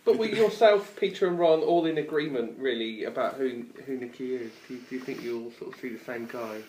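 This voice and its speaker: Quick-asking interviewer. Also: mid-range voice, darkish tone, rough recording. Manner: probing, rephrases questions. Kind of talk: interview questions.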